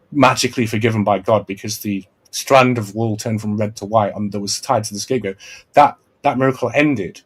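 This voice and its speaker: A man's voice: lowish voice, slightly rough. A man hosting a casual podcast, explaining at length with restarts and repeated checks of "right?". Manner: earnest, lecturing, many restarts and repeated "right?". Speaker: podcast host.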